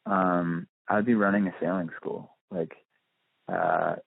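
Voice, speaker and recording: A man's voice: sits low, huge pitch swings, unhurried, creaky, no room sound. A man giving a casual phone interview as a musician, speaking thoughtfully and hesitantly, often circling back to rephrase. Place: on a phone call. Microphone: telephone. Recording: muffled, dry phone-line audio.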